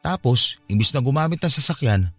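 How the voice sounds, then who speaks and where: A man's voice: mid-range voice, lively intonation, fairly quick, husky, no echo, faint music behind. An actor playing a hot-headed, hurt young man in a radio drama, talking in a defensive, conversational way. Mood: confiding.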